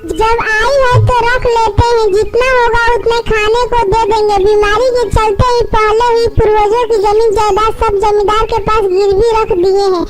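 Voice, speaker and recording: Woman as a very high-voiced, plaintive cartoon bird wife. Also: level tone, normal speed, hardly any pauses, low-quality recording. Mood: worried. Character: gentle poor female bird wife, anxious and devout.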